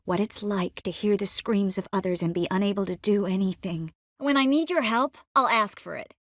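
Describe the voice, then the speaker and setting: An actress playing an earnest, caring young sorceress in a video game, expressive and by turns determined and wistful. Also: female voice, mid-range voice, normal speed, no echo, studio quality, natural voice. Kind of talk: video game character dialogue.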